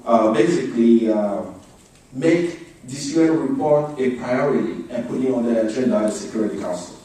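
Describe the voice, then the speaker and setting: Mellow-voiced man presenting in a very echoey room. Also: medium pitch. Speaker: advocacy speaker. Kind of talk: formal briefing presentation. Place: indoor meeting room. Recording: echoey room, distant microphone.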